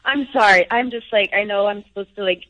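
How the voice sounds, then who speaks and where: A woman's voice: medium pitch, brisk, fairly bright tone, no echo, muffled recording. A woman calling in to a radio show, speaking in an upset, emotional way. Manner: emotional, indignant. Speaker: caller, wife.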